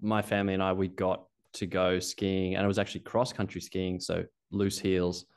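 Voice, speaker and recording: A man's voice: sits low, little pitch movement, normal speed, smooth. A middle-aged Australian man, a ski coach being interviewed, talking casually in a rambling way with many restarts. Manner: rambling with frequent restarts and fillers.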